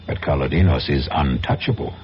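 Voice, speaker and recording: A man's voice: extremely low pitch, very animated, a little fast, slight background noise. A man giving scripted, dramatic narration as host of a radio mystery drama. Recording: muffled radio recording with music.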